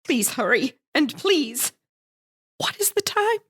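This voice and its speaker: An actress playing a wealthy, demanding invalid wife, fretful and hysterical, in a melodramatic suspense radio play. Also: female voice, high-pitched, very animated, slow, a bit bright, full character voice. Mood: impatient, demanding.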